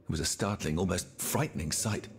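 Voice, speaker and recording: An actor playing a sober, reflective gentleman in a video game, recounting things in an earnest storytelling manner. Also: low voice, brisk, slight background noise, lightly acted. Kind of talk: video game cutscene acting.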